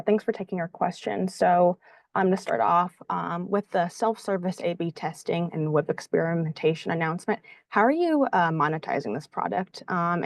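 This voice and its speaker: A female financial analyst asks polite questions on an earnings call. Her voice is on the low side, and the sound is very dry with no room echo. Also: creaky.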